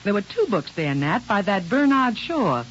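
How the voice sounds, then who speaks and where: Woman acting fussy, scolding mother, lively pitch. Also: natural voice. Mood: stern scolding. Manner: brisk, disapproving, no-nonsense. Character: scolding, fussing, practical small-town mother, middle-aged woman. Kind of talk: old-time radio drama acting. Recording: hissy, dull vintage radio recording.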